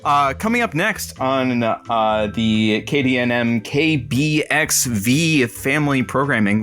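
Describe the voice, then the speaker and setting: An actor playing a droll radio engineer and announcer sidekick, wry, teasing and a little hesitant, in an audio comedy. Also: male voice, mid-range voice, a bit bright. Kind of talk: scripted audio comedy acting.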